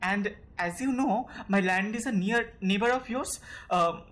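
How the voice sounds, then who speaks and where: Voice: medium pitch, a little slow, a bit bright, lightly acted. A young student actor with an Indian accent playing a nervous, fussy landowner suitor in an amateur audio drama, stammering and formal. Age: young adult.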